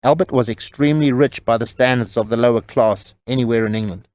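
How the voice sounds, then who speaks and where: A man's voice: medium pitch, a little fast, darkish tone, no echo. A man reading scripted novel narration as the storyteller of an audio drama.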